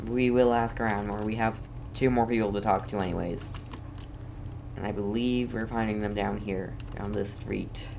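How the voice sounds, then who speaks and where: A man's voice: lowish voice, fairly even intonation, normal speed, gravelly. A man hosting a casual let's play, rambling and self-deprecating as he comments. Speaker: gaming video host. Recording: faint background noise.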